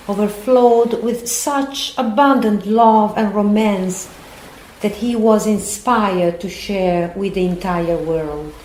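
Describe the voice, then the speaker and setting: A woman playing the narrator of an audio drama, telling her story in a measured, scripted way. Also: mid-range voice, a little mellow, close to an everyday voice. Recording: roomy echo, faint background noise.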